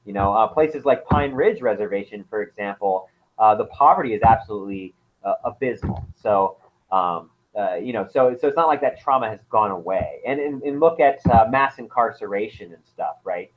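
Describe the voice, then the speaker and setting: A man's voice: lowish voice, lively intonation, moderate pace. A man explaining as an anthropologist guest in a casual interview, hesitant, with many fillers and restarts. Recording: tinny phone-like line.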